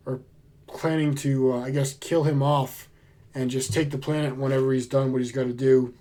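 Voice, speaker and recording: A man getting over a cold, with a slightly hoarse voice, chatting casually and with enthusiasm as he shows off his book haul. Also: medium pitch, warm tone. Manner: enthusiastic, chatty, laid-back. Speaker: book vlogger.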